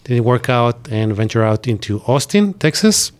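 A man from Israel with an Israeli accent, an IT business owner chatting as a radio guest, lively and animated, often adding "right?" and "like". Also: mid-range voice, normal speed. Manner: lively storyteller, acts out quoted dialogue, frequent "right?" and "like". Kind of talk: casual interview chat. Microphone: studio microphone.

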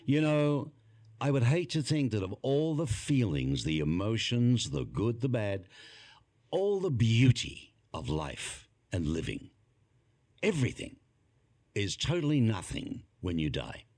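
A man's voice: medium pitch, huge pitch swings, unhurried, a little mellow, no echo, studio quality. A man hosting a conversational interview, asking questions and musing aloud. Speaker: host.

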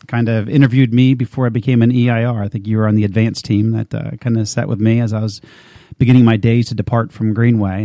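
A man's voice: mid-range voice, warm tone, no echo. A man hosting a radio interview show, chatting casually with many fillers and restarts.

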